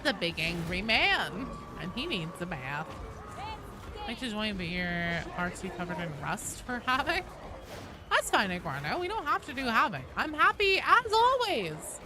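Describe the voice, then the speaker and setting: A woman's voice: a little high, big pitch swings, a little slow. A woman streaming a game, chatting casually and informally as she talks directly to her viewers. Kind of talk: casual livestream chat with viewers while gaming. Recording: clearly noisy background.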